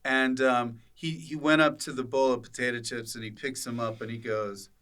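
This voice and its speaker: A man's voice: medium pitch, flat delivery, normal speed, fairly bright tone. A man hosting a podcast, bantering playfully with a guest and riffing in a jokey, teasing way. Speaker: podcast host.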